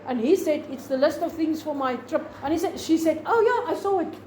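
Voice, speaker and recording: A woman's voice: sits high, fairly quick, a little mellow. A South African woman, a native Afrikaans speaker, teaching a class in an informal, rambling way with many restarts. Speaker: lecturer. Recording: echoey room with faint noise.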